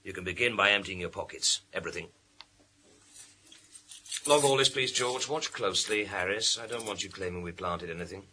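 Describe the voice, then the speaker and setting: A man's voice: low voice, normal speed, pushed and bright, low-quality recording. A man acting as a firm, commanding police inspector in a radio thriller. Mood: stern, controlled. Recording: clear background noise and clicks.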